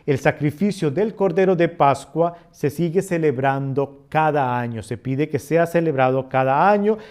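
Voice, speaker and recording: A man's voice: fairly high pitch, a little mellow. A middle-aged man from Costa Rica with a Costa Rican Spanish accent, reading scripture aloud and then explaining it as a teacher, with his voice swelling on key points. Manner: reads passages slowly, then explains with swelling emphasis.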